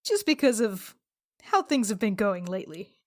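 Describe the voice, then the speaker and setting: A woman's voice: mid-range voice, sing-song, moderate pace, dry recording, clean studio sound. A woman playing a tabletop role-playing game, chatting informally and jokingly as she describes her character's actions. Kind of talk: casual improvised game chat.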